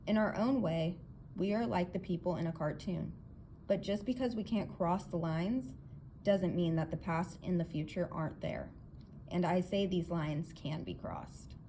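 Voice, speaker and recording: A woman's voice: fairly low pitch, a little slow, dark tone. Emphatic, confident male inventor, read by a woman. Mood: patient, insistent. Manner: emphatic, explanatory, theatrical. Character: earnest, confident male inventor lecturing his guests. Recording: clear background noise.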